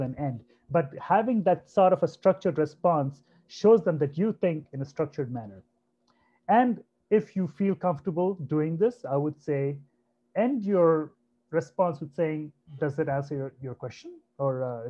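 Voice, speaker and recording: Presenter, male, 30s, from India: soft, mellow voice, chatty teaching delivery, heard over dull lo-fi video call audio. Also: a little high. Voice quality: naturally soft voice. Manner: conversational, repeats himself, asks "right?" often. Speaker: webinar presenter. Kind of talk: online career webinar talk. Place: home room on a video call. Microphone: computer mic on a video call.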